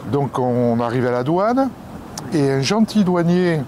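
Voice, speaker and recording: A man's voice: fairly high pitch, big pitch swings, measured pace, dark tone. A man telling a travel story in a casual vlog, rambling with fillers and restarts. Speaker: travel vlogger. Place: outdoors and aboard a ferry. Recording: noisy outdoor recording.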